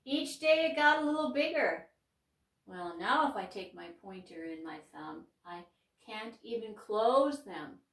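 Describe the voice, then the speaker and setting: Middle-aged woman, Upper Midwest American accent, unhurried and expressive children's storyteller, recorded off-mic in an echoey room. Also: medium pitch, recorded from a distance. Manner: gentle, slow and expressive, pausing to explain pictures. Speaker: children's librarian hosting storytime. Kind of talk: reading a picture book aloud to children. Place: church room. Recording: roomy sound, mic set back.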